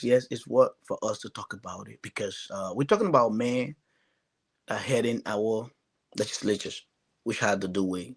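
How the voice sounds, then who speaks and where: A man from Liberia with a Liberian accent, giving earnest, emphatic political commentary as a talk show panelist, often repeating phrases. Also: medium pitch.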